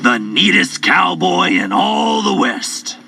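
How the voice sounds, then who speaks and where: A man tells a children's story with theatrical relish. His voice is high and a little creaky, swooping widely, with music playing behind him. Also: big pitch swings. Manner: theatrical, playful, drawn-out delivery. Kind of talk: animated children's story narration.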